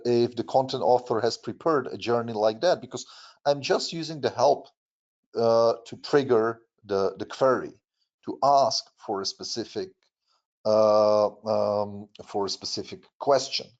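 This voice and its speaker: Man with a Czech accent demoing software. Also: medium pitch, highs cut off. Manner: halting, many fillers and restarts. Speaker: product presenter. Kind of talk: webinar software demo.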